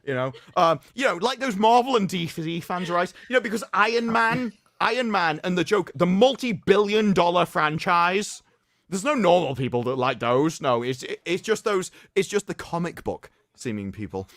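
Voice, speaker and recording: A man's voice: high voice, expressive, normal speed, a bit bright, no echo, clean studio sound. A man hosting a livestream, doing a mock-dramatic reading with commentary in a theatrical, sarcastic, mock-solemn manner. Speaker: livestream host.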